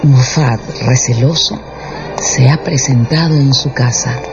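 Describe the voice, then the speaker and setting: A man's voice: mid-range voice, measured pace, noisy background. A man delivering scripted, dramatic narration for a radio drama. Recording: dull radio production with music underneath.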